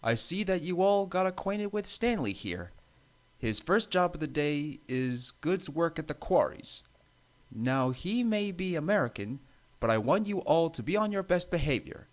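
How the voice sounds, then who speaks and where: A man's voice: on the high side, sing-song, unhurried, pitched above the performer's own voice. A man voicing a firm but kindly railway controller who speaks formally, in a children's story. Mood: brisk, polite. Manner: formal, authoritative.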